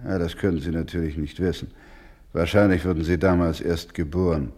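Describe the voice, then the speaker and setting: Radio play: gentle, unbending older male doctor, low register, grave and deliberate, formal phrasing. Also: steady tone. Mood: calm dignity. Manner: solemn, deliberate, formal, with emphatic repetition. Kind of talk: German radio play acting.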